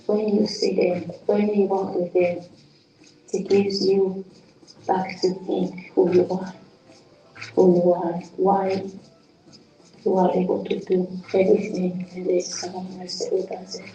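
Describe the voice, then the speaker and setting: A woman giving a soft-spoken testimonial interview, searching for her words. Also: on the low side, little pitch movement, unhurried, long pauses, dull audio. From Rwanda. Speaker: program participant. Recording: roomy sound from a distant mic.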